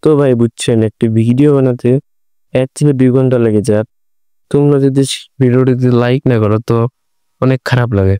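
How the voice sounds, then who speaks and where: A man's voice: medium pitch, a little fast, warm tone, smooth, no echo. A man narrating a casual how-to tutorial as its host.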